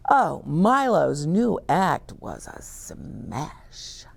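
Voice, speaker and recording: A middle-aged woman reading a picture book aloud to children, expressive and stopping to explain words. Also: sits low, extremely slow, vocal fry, slightly rough. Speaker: children's story reader.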